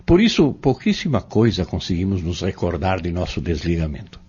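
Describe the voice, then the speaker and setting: A dull, lo-fi audio drama recording of a man playing a patient, earnest religious teacher. His low voice moves up and down expressively as he explains things step by step in a measured, didactic way. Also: big pitch swings, darkish tone, breathy edge, exaggerated voice. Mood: patient, instructive. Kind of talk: scripted audio drama dialogue.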